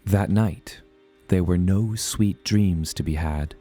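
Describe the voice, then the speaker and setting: A man narrating dramatic scripted audio fiction in the first person, with measured, deliberate storytelling. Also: sits low, mellow. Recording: music behind the voice.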